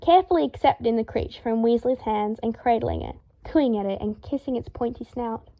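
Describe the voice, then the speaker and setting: A woman reading fiction aloud as an audiobook narrator, with expressive scripted delivery. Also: on the high side, brisk, highs cut off.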